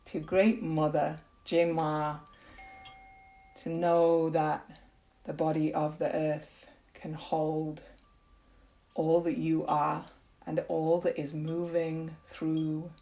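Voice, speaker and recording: A woman yoga nidra teacher leading a guided meditation in a gentle, unhurried, soothing way with long pauses. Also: sits low, monotone, rough recording.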